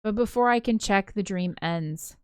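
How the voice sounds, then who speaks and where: A woman's voice: mid-range voice, moderate pace, smooth, no room sound, clean studio sound, natural voice. A woman reading the part of a brooding, traumatized ghost girl, narrating a visual novel in an introspective, melancholy way. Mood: wistful. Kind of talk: dramatic visual novel read-aloud.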